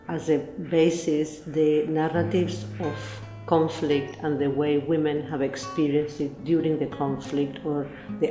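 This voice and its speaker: Woman, Chilean accent, low voice, native Spanish speaker presenting in careful explanatory English over guitar music. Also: warm tone. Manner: deliberate, explanatory, non-native English phrasing. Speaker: textile collection curator and interviewee. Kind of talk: explanatory interview talk, presenting textiles. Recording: roomy echo, guitar music behind.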